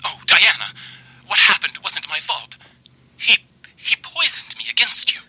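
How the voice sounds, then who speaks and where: A man's voice: high-pitched, animated, a little slow, gravelly, pushed and bright, full character voice, low-quality recording. An actor playing an unstable, lovesick young man who stammers and frets in old-time radio mystery style. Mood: desperate pleading. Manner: stammering, pleading, overwrought.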